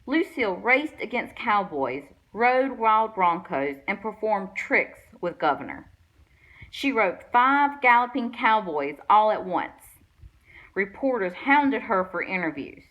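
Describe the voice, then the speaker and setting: A woman reads a picture book aloud for children. Her pitch sits in the middle range, and she tells the story expressively, as a guest reader would. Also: very animated, slow, muffled recording.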